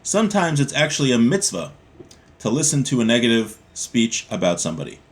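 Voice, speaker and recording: A man teaching from a religious law book, reading each passage in Hebrew and then explaining it in an easygoing, conversational way. His voice sits at an ordinary middle pitch for a man. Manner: reads Hebrew aloud then translates in casual speech. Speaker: Torah teacher.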